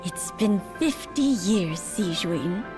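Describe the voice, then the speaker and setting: A woman playing a gentle, knowing old lady in a video game, wistful and grateful. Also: mid-range voice, expressive, slow. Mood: tender, knowing. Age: older. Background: game music.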